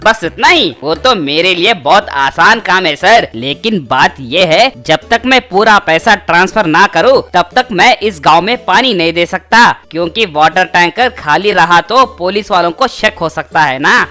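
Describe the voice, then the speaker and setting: Woman voicing a bright, fawning tanker driver man. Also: lowish voice, expressive, measured pace, hardly any pauses, soft background music. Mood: eager, fawning. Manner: deferential, excuse-making. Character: sly, eager male water-tanker driver, fawning and greedy. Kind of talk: Hindi comedy moral-story cartoon dialogue.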